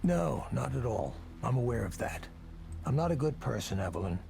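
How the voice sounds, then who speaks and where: An actor playing a weary, drunk, self-loathing male tech executive in a video game, with measured, gravely earnest delivery. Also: medium pitch, big pitch swings, darkish tone, faint music behind. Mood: bleak confession. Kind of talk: visual novel voice acting.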